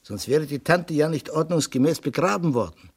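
An actor playing a stern, sceptical police inspector in a 1960s German radio crime drama, questioning in a dry, sarcastic way. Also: male voice, fairly high pitch, animated, moderate pace, slightly rough, no room sound. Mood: sceptical, dismissive.

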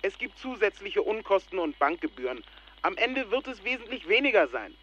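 An actor playing a self-assured, formal and insistent Nigerian scam official in a radio play, speaking over the telephone. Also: male voice, high voice, big pitch swings, brisk, sharp tone, exaggerated voice. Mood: brusque, assured. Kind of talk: radio play acting, formal phone talk. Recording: dull, noisy telephone line.

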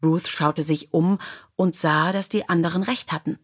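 A woman's voice: lowish voice, steady tone, normal speed, breathy edge. A woman reading scripted biographical stories as an audiobook narrator.

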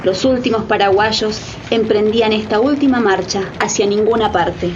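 A woman's voice: mid-range voice, moderate pace, muffled recording. A woman giving solemn, scripted narration for a radio drama. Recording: clear background noise.

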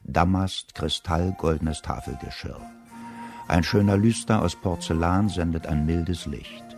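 Very deep male radio play narrator. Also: measured pace, vocal fry, darkish tone, soft background music.

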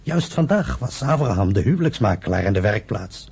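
Raspy, gravelly reproachful father. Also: fairly low pitch, very animated, a little mellow, full character voice. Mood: wry. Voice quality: very husky, gravelly. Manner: slow, wryly reproachful. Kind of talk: radio play acting.

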